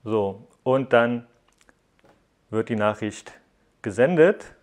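A man's voice: mid-range voice, animated, takes it slowly, a little mellow. A man narrating a casual hands-on app review, explaining things step by step and addressing viewers directly.

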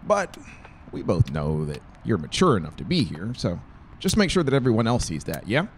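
Male narrator playing middle-aged father, firm yet reassuring, measured, gravelly, storybook character. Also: on the low side, wildly swooping pitch, a little hiss or hum.

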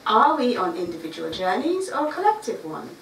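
A middle-aged woman from Guyana with a Guyanese accent, reading a prepared talk in a measured, reflective way with a few chatty asides. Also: medium pitch, big pitch swings, gravelly, fairly bright tone, slight background noise. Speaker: writer giving a talk. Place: small dark stage before a seated audience. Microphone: stand mic, filmed by a far camera. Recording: roomy, distant camera recording.

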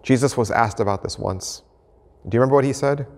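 A man in his fifties, an American pastor, preaching an earnest, measured sermon to camera from an empty church sanctuary. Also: medium pitch. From United States. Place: empty church sanctuary, indoors, mid-sized. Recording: reverberant church hall.

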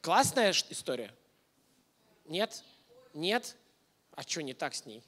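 Male presenter, thirties, voice a little high; informal talk to a room, question-led delivery. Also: big pitch swings, slow, sharp tone. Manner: informal, engaging listeners with questions. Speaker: lecturer giving a masterclass. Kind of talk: conversational lecture to an audience. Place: indoor hall, on stage before a projection screen.

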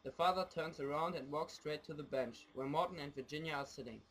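Young man narrating, slightly high voice, faint noise. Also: a little fast, fairly bright tone, rough recording. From Germany. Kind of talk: scripted radio play narration.

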